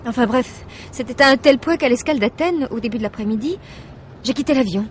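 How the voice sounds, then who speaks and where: A woman's voice: fairly high pitch, fairly quick, slight background noise, lightly acted. A woman playing an anxious, hesitant wife confiding in her driver in a French radio mystery drama, breaking off and restarting her sentences. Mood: uneasy relief.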